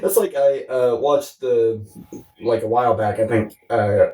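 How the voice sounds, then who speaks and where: A man's voice: medium pitch, unhurried, darkish tone. A man co-hosting a podcast, chatting casually and comedically about films and comics in a rambling, sweary, giggly way. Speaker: podcast co-host. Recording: roomy echo, distant from mic.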